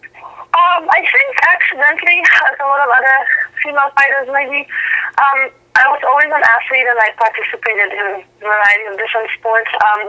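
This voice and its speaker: A woman in her thirties, originally from Poland, answering interview questions as a boxer, thoughtful and full of "um" pauses. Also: high-pitched, pushed and bright, poor audio. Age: 30s. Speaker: professional boxer and guest.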